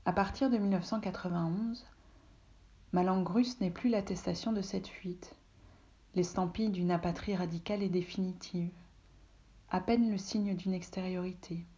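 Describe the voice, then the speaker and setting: A woman from Russia whose first language is Russian, calmly reading a literary text aloud from her home office, often soft and breathy. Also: fairly low pitch, normal speed, lo-fi sound. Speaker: reader, translator.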